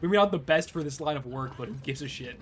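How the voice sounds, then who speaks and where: A young man streaming a game, chatting casually in a laid-back, chuckling way with a fairly high-pitched voice. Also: lively intonation, normal speed, a bit bright, slight background noise. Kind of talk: casual live gameplay commentary.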